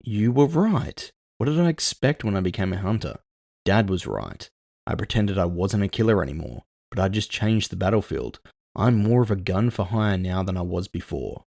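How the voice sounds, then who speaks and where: Man playing a weary, gravelly, low-voiced brooding gunman. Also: animated, moderate pace, darkish tone, no room sound, studio quality, exaggerated voice. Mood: resigned, reflective. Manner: world-weary, introspective. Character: weary, brooding male cyborg gunman, guilt-ridden, self-doubting.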